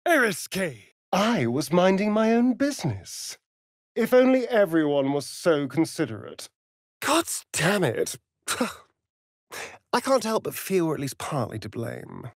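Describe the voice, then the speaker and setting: A man's voice: high voice, huge pitch swings, unhurried, dry recording, studio quality, heavily acted. A man playing a sardonic, vain vampire spawn in a fantasy video game, with an arch, theatrical drawl. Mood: wry, sardonic.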